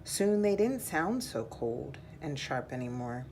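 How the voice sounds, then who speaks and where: A woman reading a children's picture book aloud in a measured, deliberate storytelling style. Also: a bass voice, big pitch swings, a little mellow, slight background noise.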